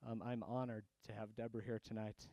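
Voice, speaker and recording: A man's voice: fairly low pitch, level tone, fast, dark tone, dry recording. A man hosting a reading event, introducing the next reader in a friendly, rambling way. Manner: friendly, rambling, with fillers and restarts. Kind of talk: casual emcee introductions.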